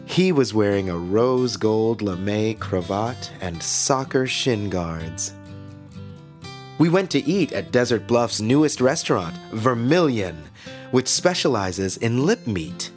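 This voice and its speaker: An actor playing a relentlessly cheerful, eerie small-town radio host in a scripted monologue, theatrical and drawn-out, with a smile in his delivery. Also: on the low side, sing-song. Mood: dreamy, delighted. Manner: theatrical, smiling, deliberately drawn-out. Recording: music behind the voice.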